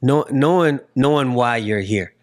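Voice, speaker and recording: A man's voice: mid-range voice, a little slow. A man in his forties hosting a casual interview, circling toward his point with repeated phrases. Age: 40s. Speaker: podcast host interviewing a guest.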